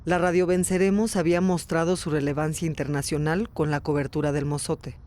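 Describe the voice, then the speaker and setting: A woman's voice: deep voice, little pitch movement, brisk, darkish tone. A woman hosting and narrating a documentary podcast in a scripted storytelling style. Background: music under the voice.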